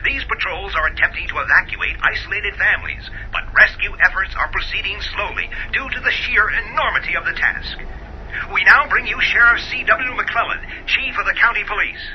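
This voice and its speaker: A male actor plays an emergency radio newscaster, pitched high and speaking in formal, urgent bulletin style. The radio drama recording is dull, with faint noise. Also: brisk, natural voice. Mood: grave, official. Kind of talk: emergency news bulletin acting.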